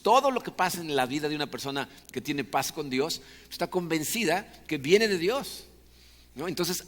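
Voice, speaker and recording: A man's voice: on the high side, a bit bright, long reverb tail. A Mexican man with a Mexican Spanish accent, preaching a sermon as a pastor in a conversational way, often checking in with the congregation. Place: church hall.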